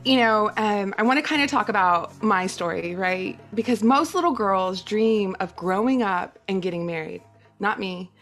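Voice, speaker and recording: A woman's voice: medium pitch, expressive, moderate pace, a bit bright, soft background music. A middle-aged woman whose first language is Spanish, giving a personal testimonial talk as a guest speaker in an earnest, emphatic manner.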